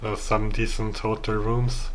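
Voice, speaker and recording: Casting: Austrian-accented man for casual game walkthrough; halting, very slow delivery, monotone, noise behind the voice. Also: on the low side, rough recording. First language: German. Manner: halting, searching for words. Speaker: gamer showing his own game build.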